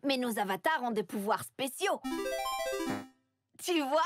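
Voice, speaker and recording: A woman's voice: high-pitched, animated, a little slow. A woman voicing an eager, confident young boy in a French cartoon dub, chirpy and reassuring as he explains things. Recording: loud music behind.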